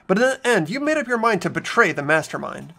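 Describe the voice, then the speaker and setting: Guy doing an earnest schoolboy game hero, really high bright voice, animated, insistent. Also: fast talker, gravelly, faint music behind, full character voice. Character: earnest, trusting teenage boy student protagonist. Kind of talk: fan voice-over of a visual novel game.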